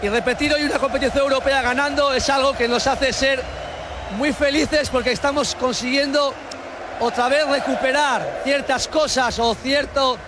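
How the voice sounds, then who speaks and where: A man's voice: very high voice, steady tone, normal speed, a crowd in the background, background noise. A man, a football coach, giving an earnest, emotional post-match statement.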